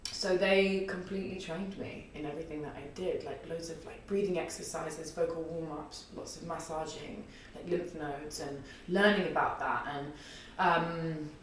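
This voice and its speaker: A young woman with a husky, low voice, chatting as a podcast guest and rambling through stories with frequent laughs. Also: steady tone, moderate pace, few pauses. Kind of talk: casual interview chat. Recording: echoey room, distant mic, faint noise.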